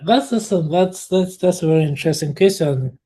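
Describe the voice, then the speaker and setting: A man in his thirties from Cameroon, a guest telling his life story in a relaxed interview, full of fillers and restarts. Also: fairly high pitch, fairly quick, slightly rough. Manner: hesitant, many fillers and restarts, laughs often.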